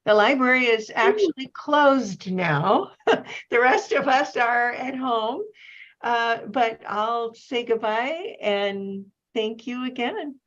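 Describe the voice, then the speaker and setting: Female event host, courteous and unhurried, mid-range pitch, slightly lo-fi call audio. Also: animated, fairly bright tone. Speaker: host of a library poetry event. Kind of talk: informal hosting and thanking guests. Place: at home, on an online video call.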